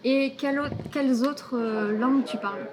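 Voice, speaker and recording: A woman's voice: high-pitched, normal speed, a crowd in the background, rough recording. A woman hosting a casual interview in French, encouraging her guest and supplying words he is looking for. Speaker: host of a french learning channel. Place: outdoors in a city.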